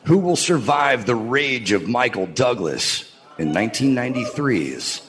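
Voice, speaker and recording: A man's voice: medium pitch, very animated, a little slow, crowd noise. A man from California delivering a bombastic, scripted comic game-show introduction in a theatrical manner. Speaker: game segment announcer.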